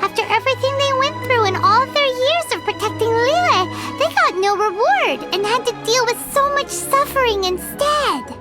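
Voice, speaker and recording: A woman voicing a tiny, childlike, chirpy and inquisitive fairy companion in a video game, with a squeaky voice. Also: lively intonation, moderate pace, hardly any pauses. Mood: earnest, sympathetic. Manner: refers to self in third person, exclamatory. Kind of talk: video game character dialogue. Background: music.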